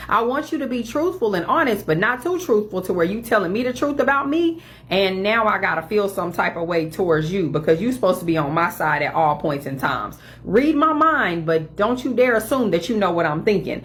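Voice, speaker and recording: A woman giving an opinion monologue, mocking demands in quick sarcastic bursts. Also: medium pitch, lively intonation, a bit bright, a little hiss or hum.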